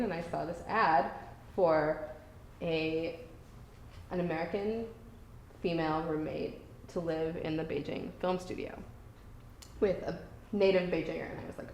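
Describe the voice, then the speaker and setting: Chatty woman professor in an echoey room. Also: lowish voice. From Michigan. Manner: friendly, informal, with fillers. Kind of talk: casual talk to students. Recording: echoey room, faint noise.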